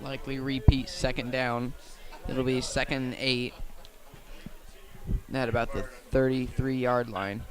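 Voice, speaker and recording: A man doing live radio play-by-play of a football game in measured, factual short phrases, speaking from outdoors at the field. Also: mid-range voice, little pitch movement. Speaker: play-by-play commentator.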